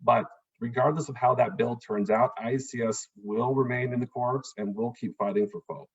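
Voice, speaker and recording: A man's voice: mid-range voice, fairly even intonation, normal speed, husky, dull audio. A man, an immigration attorney, giving an earnest, explanatory town hall talk over a video call, with frequent "um"s and "uh"s.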